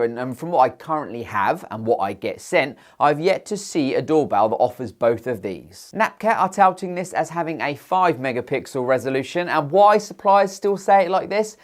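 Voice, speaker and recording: British man with very animated delivery. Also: medium pitch. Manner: playful, informal asides. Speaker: tech reviewer and channel host. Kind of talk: scripted video review narration with jokey asides.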